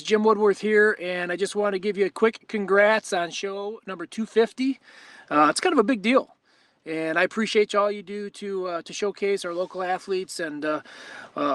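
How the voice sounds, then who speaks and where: A man's voice: high-pitched, fairly bright tone, no room sound. A man leaving a casual congratulatory message. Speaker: well-wisher leaving a message.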